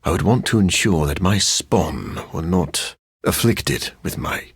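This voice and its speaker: A man playing a brooding, dryly witty vampire scientist in a video game, husky and raspy, speaking in a formal, sardonic way. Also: deep voice, expressive, measured pace, no room sound, clean studio sound, heavily acted. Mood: measured, brooding. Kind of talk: video game character voice acting.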